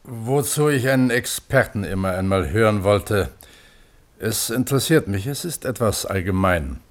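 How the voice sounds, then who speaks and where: An actor in a radio play voicing a thoughtful, doubtful panel member who questions politely and hesitantly. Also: male voice, on the low side, lively intonation, normal speed, natural voice. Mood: curious. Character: thoughtful, doubtful male member of a hearing board. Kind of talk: radio play courtroom acting.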